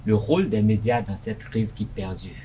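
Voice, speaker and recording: A man's voice: on the low side, normal speed, mellow, slight background noise. A man co-hosting a televised panel debate as a journalist, pressing the guest with earnest, probing questions. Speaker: journalist co-host.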